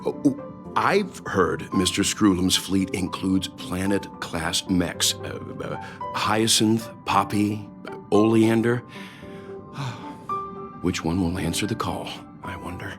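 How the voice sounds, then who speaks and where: Game role: cryptic, calm muser, low voice, unhurried, clear music behind. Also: male voice. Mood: musing. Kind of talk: scripted game character dialogue.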